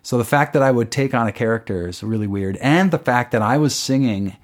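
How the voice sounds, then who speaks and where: A man's voice: medium pitch, animated, a little fast. A man, a songwriter guest, talking casually in an interview and explaining at length with lots of fillers. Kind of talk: casual radio interview chat.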